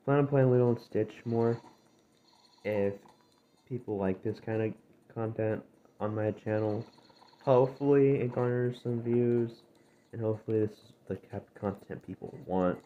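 A man hosting his gaming channel, chatting casually over gameplay with a dry, gravelly voice because he has just woken up. He rambles and corrects himself as he goes. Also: lowish voice, fairly even intonation, moderate pace. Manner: rambling, self-correcting, drifting between topics. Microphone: phone screen-recorder audio. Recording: tinny, phone-like, faint noise.

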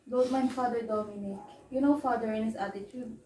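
A woman's voice: on the high side, steady tone, brisk, warm tone, slight background noise, natural voice. A young woman playing a stressed, dutiful eldest sister and student in an amateur melodrama. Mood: reassuring. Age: young adult. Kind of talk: amateur one-act melodrama. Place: small living room, indoors. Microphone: far camera. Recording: echoey room, distant mic, background music.

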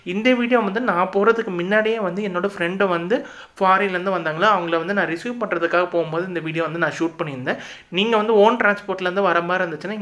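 A man's voice: sits high, pushed and bright. A man with a Tamil accent narrating a casual travel vlog, chatty and full of advice, leaning on lots of filler words. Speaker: travel vlogger.

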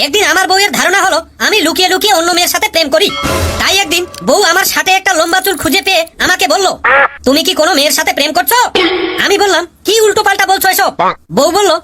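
A woman's voice: extremely high pitch, racing, sharp tone, soft background music. A woman voicing a cheeky married male pupil in a cartoon, telling joke stories about his wife to the teacher. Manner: chatty storytelling, punchline delivery. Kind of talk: cartoon comedy voice acting.

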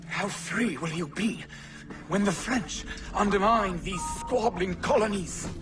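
A cold, lordly Templar commander in a game cutscene, sneering and measured. His voice sits high and drawls very slowly, with orchestral score music swelling underneath. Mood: contemptuous. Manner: haughty, slow and mocking. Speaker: villain. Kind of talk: video game cutscene acting.

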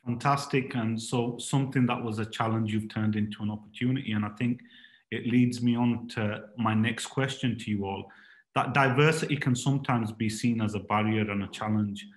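A man moderating an online panel from his room, speaking with a fairly low voice. His remarks are encouraging and brisk, and the call audio has a noticeable echo around him. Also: fairly even intonation. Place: home room on a video call.

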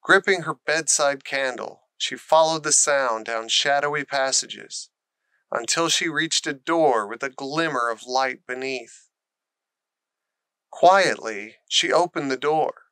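Guy reading a kids storybook, really slow and clear, bright voice. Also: medium pitch, dry recording. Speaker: storybook reader. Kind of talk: scripted children's story narration.

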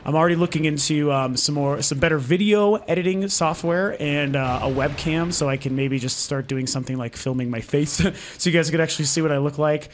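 A man's voice: a little high, fairly quick, hardly any pauses, a little hiss or hum. A man from Colorado who is losing his voice, talking casually at home to his channel's viewers with many ums and restarts. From Denver, Colorado, US. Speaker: gaming channel host. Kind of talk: casual talk to camera audience over gameplay footage.

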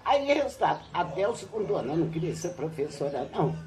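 An older woman, a retired teacher, recounting her school days in a relaxed interview on a home patio. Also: low-pitched, fast talker, low-quality recording. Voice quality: lively, animated storytelling with laughs. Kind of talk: reminiscing interview. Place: covered outdoor patio at a home.

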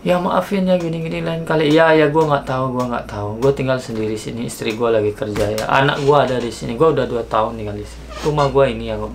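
A man in his thirties, seated in a small bedroom with a gaming setup, telling a story casually and acting out the dialogue with lively shifts in pitch, recorded on a camera mic. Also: fast, few pauses, slight background noise. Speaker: vlogger. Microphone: camera mic, seated facing camera.

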